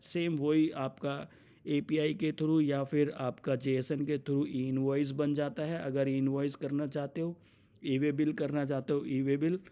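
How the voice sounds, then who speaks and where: An Indian man explaining software in an unscripted walkthrough, mixing English terms into his talk. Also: on the high side, clean tone. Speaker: software demonstrator for his company. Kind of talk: unscripted product demo walkthrough.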